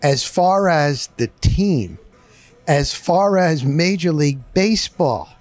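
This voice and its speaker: A man's voice: fairly high pitch, animated, takes it slowly, a little mellow, no room sound, faint music behind. A man hosting a casual sports interview, putting questions to his guest in a conversational style. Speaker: interviewer, host.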